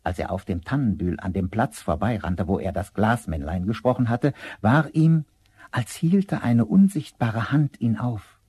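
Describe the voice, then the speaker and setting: A man's voice: fairly low pitch, expressive, a little slow, mellow, slightly rough, dry recording. A man narrating a fairy tale as a scripted storyteller for a radio play.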